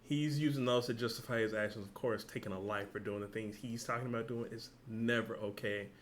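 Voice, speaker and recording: A man hosting a reaction video, talking in a relaxed, thinking-aloud way and swearing now and then. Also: on the low side, a little fast, a little hiss or hum. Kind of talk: casual reaction commentary.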